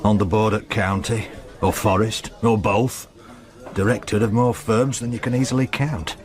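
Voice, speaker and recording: An actor playing a dry, dogged police detective, understated in a radio drama. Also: male voice, on the low side, fairly even intonation, normal speed, natural voice. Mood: probing. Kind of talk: naturalistic radio drama acting.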